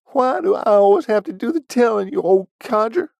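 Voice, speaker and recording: A man voicing an excitable, portly old ranch hand who squawks and rambles. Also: very high-pitched, normal speed, gravelly, no room sound, pitched above the performer's own voice, exaggerated voice. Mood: indignant. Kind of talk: audiobook character voice.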